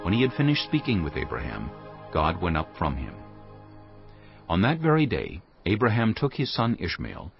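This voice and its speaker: Deep, expressive male scripture narrator. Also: gravelly. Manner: measured, solemn storytelling. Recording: slightly dull sound, faint music.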